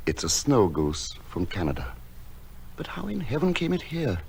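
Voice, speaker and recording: A man playing a gentle, lonely recluse in a radio drama, speaking and narrating in a measured, literary way. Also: medium pitch, wildly swooping pitch, gravelly, slightly rough, heavily acted. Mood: gentle, reassuring. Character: lonely, gentle, misshapen male recluse who tends wild birds. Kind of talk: radio drama acting and first-person narration. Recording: music behind the voice.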